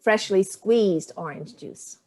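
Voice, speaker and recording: A woman plays a sharp-tongued older mother with a dry, cutting delivery. Her jabs come out level and sardonic, at a middle pitch. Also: takes it slowly. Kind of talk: staged play reading.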